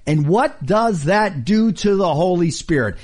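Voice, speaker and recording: Male preacher, middle-aged, husky voice, lively rising and falling pitch, emphatic rhetorical questions, non-native English speaker. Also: a little slow. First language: not English. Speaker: preacher and radio host. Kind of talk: radio sermon.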